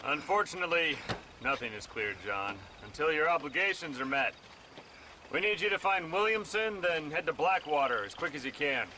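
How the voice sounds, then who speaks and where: A man's voice: a little high, expressive, normal speed, noisy background, lightly acted. A man playing a smug, coercive Old West lawman in a video game, speaking in a formal, condescending way. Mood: smug, commanding. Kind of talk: dramatic video game acting.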